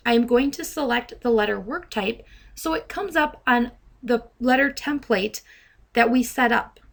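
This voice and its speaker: A woman with a fairly high voice works as a software trainer, narrating a demonstration. She speaks in a deliberate, instructional way and pronounces each dictated command distinctly. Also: vocal fry. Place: voice-over to a screen recording.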